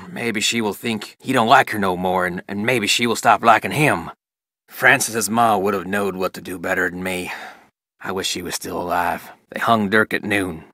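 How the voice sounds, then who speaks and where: Video game role: weathered frontier lawman and father, low forceful voice, dry close recording, rural Western American accent, plainspoken. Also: no echo. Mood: regretful, sorrowful. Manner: folksy, plainspoken. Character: weathered Old West sheriff, grieving, stern, loving father. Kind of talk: scripted diary reading in a video game.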